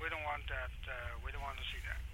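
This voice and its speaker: A man's voice: medium pitch, steady tone, fast talker, sharp tone, a little hiss or hum. A man answering a phone call about a job, speaking hesitantly with many fillers. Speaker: business owner taking calls. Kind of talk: phone conversation.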